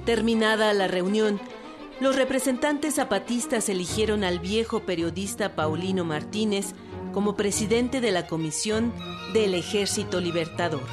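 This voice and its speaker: Una mujer que narra un radioteatro histórico con un tono medido y claro. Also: female voice, mid-range voice. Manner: measured, clear storytelling. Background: guitar music.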